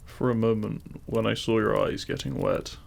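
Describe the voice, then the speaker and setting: An actor playing a demon king, a deliberate and intimate lover, speaking straight to the listener in a romantic audio roleplay. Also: lowish voice, steady tone, creaky, a little mellow. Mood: loving, gentle. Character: feared demon king, menacing in public, tender lover in private.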